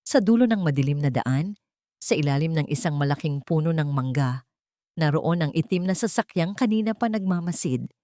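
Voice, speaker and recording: A woman narrates a dramatic story in a low, grounded voice. Her delivery is measured and steady, and the recording is dry and close with no room sound. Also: dry recording.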